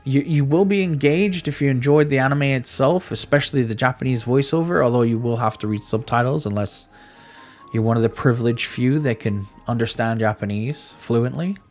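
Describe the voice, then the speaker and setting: A man's voice: mid-range voice, brisk, darkish tone, soft background music. A man giving a casual, conversational video game review, speaking emphatically and often stammering or repeating his words.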